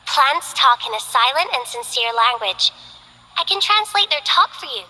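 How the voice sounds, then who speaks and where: A woman's voice: high voice, expressive, normal speed, bright, forceful tone, long reverb tail, exaggerated voice, low-quality recording. A woman voicing a languid, bored young mercenary who loves plants, in game character voice lines with a lazy, teasing drawl.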